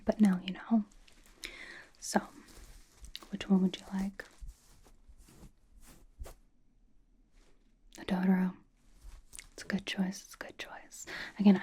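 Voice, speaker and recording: A woman voicing a blunt but cozy young female pop star in a breathy, casual, halting ASMR whisper, doting on the listener. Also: mid-range voice, warm tone. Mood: coaxing, playful. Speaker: ASMR roleplay performer. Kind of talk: whispered ASMR personal-attention roleplay.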